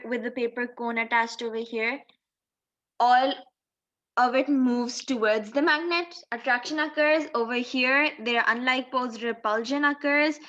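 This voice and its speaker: A woman's voice: fairly high pitch, a bit bright. A woman teaching in an explanatory tutorial style, going step by step and posing questions as she explains. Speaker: physics teacher. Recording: dull lo-fi microphone sound.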